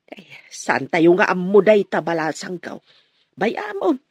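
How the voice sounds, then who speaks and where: An actress playing a protective, sympathetic mother who gently scolds, in an Ilocano radio drama. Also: fairly low pitch, huge pitch swings, unhurried, husky, no echo, heavily acted. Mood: concerned.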